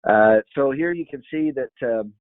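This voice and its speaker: A hoarse man walking through market charts on screen, hesitant and full of fillers and restarts. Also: medium pitch, moderate pace, dry recording, narrow, tinny audio. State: hoarse from yelling. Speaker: market analyst. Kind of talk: screen-share chart walkthrough.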